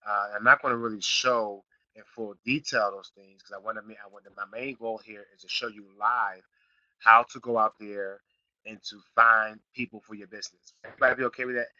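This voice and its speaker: Middle-aged man, very bright tone, slightly raspy from a sniffle, dull lo-fi call audio. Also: fairly low pitch, dry recording. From Philadelphia, Pennsylvania. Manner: repetitive, restarts phrases, builds to emphatic points. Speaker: online marketing class host. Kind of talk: live teleseminar class, casual coaching.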